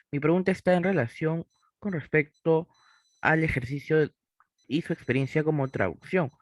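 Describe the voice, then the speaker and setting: A man's voice: mid-range voice, dry recording. Male moderator, formal and polite, dry close sound. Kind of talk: moderating a webinar Q&A.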